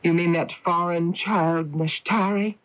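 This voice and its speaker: A woman's voice: on the low side, steady tone, unhurried, close to an everyday voice. An actress playing a scheming, vengeful Egyptian queen in an imperious, theatrical manner in an old radio drama. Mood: haughty, seductive. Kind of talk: 1980s radio drama acting. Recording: dull lo-fi old radio broadcast.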